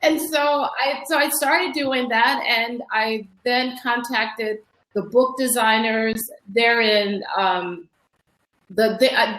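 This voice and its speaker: Woman in her 50s, author guest, rambly chatty talk, kinda high voice, roomy call sound. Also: a bit bright. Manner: chatty and rambling, with frequent restarts and laughs. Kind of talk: casual interview conversation. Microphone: online video call. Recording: echoey online call audio.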